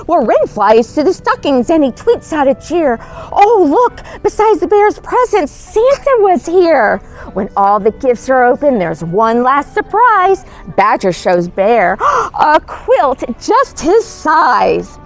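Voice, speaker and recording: A woman telling stories to young children in an expressive, coaxing, exclaiming read-aloud manner. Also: high voice, slow pace, faint music behind, poor audio. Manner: animated, exclaiming, coaxing, dramatic gasps. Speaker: costumed storyteller as Mrs. Claus.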